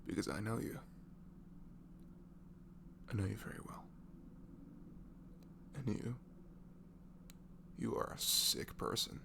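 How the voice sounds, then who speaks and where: A man speaking softly and breathily in an improvised, comforting ASMR voice message, rambling affectionately and informally as if to a close friend. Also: lowish voice, animated, slow, gravelly, long pauses, noisy background. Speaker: amateur ASMR audio creator playing a supportive friend.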